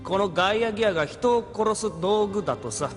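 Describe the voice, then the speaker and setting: Male pilot sidekick: cocky, cynical, rough-spoken, fairly high lively voice, long reverb. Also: brisk. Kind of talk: Japanese sci-fi anime audio drama acting. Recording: reverberant, faint music behind.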